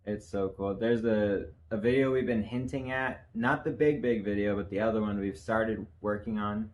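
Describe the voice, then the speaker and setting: A man co-hosting a casual podcast who talks in a profane, animated way and laughs often. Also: lowish voice, moderate pace, a little hiss or hum. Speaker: podcast co-host. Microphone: camera facing the hosts.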